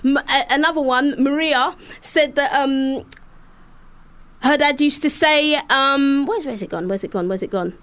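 A young woman from Sierra Leone co-hosting a radio show, animated and laughing often as she tells stories. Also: high-pitched, unhurried. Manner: animated, laughing, lots of repeats. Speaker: co-host, rapper. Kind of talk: casual radio chat.

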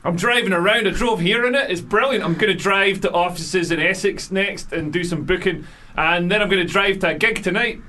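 A man from Scotland, a comedian guest on a radio show, joking in a dry, deadpan way. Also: high-pitched, brisk. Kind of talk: casual studio chat.

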